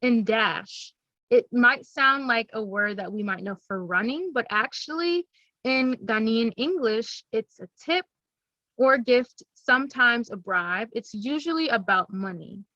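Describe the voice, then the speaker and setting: Young woman, bright forceful voice, dry muffled sound, upbeat teacher tone. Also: fairly high pitch, lively intonation, a little slow, dry recording. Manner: upbeat, teacherly, speaks plainly to children. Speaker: presenter to schoolchildren. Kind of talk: recorded classroom presentation.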